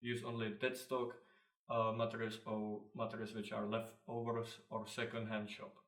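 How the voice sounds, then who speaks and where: A young man with a Czech accent, a designer answering interview questions casually, halting often with fillers and restarts. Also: lowish voice, level tone. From Czech Republic. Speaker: designer, co-founder of a clothing brand. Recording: echoey room, mic at a distance.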